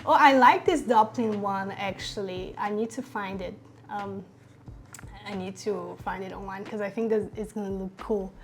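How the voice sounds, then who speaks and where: A young woman from Brazil with a Brazilian Portuguese accent, chatting casually to camera about her design work, upbeat and full of fillers. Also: on the high side. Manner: chatty, upbeat, many fillers and little laughs. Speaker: designer vlogger. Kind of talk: casual talk to camera while working.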